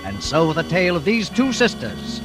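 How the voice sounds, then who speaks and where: A man's voice: fairly high pitch, wildly swooping pitch, normal speed. A man hosting a 1940s radio drama, reading scripted introductions in an ominous, formal, theatrical way. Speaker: radio drama announcer and host. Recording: vintage radio recording with music behind.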